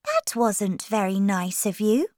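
A woman's voice: high-pitched, wildly swooping pitch, slow, no echo, studio quality, voice pushed up, exaggerated voice. A woman voicing a polite but indignant young girl in a children's story, with careful, spaced-out words. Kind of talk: slow children's storybook character voice.

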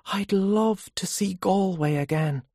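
A narrator voicing an eager, mildly teasing wife with a lively, coaxing manner, in an audiobook story. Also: medium pitch, slow, dry recording, clean studio sound, voice pushed up. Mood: excited.

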